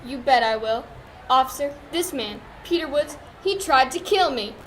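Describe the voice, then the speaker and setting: A woman's voice: sits high, animated, normal speed, fairly bright tone. A woman playing a scheming young femme fatale, coy and melodramatic, in a 1940s-style radio play. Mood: accusing.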